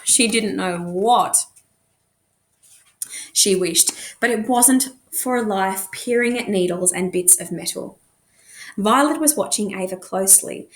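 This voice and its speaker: A woman narrating a novel expressively, as a teacher reading aloud to students. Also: fairly high pitch.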